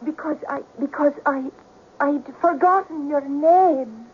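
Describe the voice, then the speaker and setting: A forgetful young fiancée, all in a fluster, in an old radio play. Her voice is high. The recording is narrow and tinny with slight noise. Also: slow. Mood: flustered, tearful. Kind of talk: 1970s radio drama acting.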